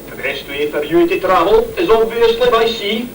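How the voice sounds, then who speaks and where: A man's voice: sits high, lively intonation, normal speed, noisy background. A man playing a bossy, penny-pinching chemist in an amateur rhyming Christmas pantomime. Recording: distant echoey stage recording, music and audience.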